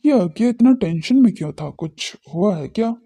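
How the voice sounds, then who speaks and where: A brooding young man of a family drama, acted by a woman. The voice is very dark and muffled with a gravelly creak. He mutters his lines in a casual, wry way. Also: medium pitch, animated, normal speed. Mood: brooding, puzzled. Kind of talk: audio drama character dialogue.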